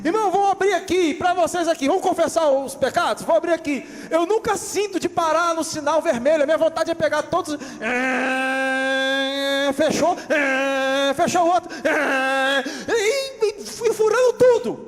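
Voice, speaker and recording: A man from Rio de Janeiro with a Carioca accent, preaching a lively sermon to his congregation, acting out everyday scenes and asking for amens. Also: extremely high pitch, moderate pace, long reverb tail, soft background music. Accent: Carioca Brazilian Portuguese. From Rio de Janeiro, Brazil. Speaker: pastor. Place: church hall.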